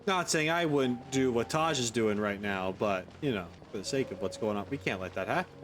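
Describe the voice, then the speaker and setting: A man's voice: medium pitch, a little fast, a little hiss or hum. A man streaming a game with casual let's-play commentary, rambling as he thinks aloud. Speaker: gaming streamer.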